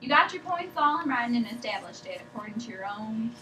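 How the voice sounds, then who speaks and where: A woman's voice: on the high side, very animated, quick pace, bright, forceful tone. A woman acting a stubborn, evasive, sick woman patient in a drama table read, argumentative and rambling. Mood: defiant. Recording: echoey room, distant mic, faint noise.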